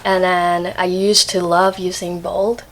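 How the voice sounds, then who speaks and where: Soft-spoken young woman from Hong Kong. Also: fairly low pitch, flat delivery, unhurried, very noisy. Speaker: podcast guest. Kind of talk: casual interview chat.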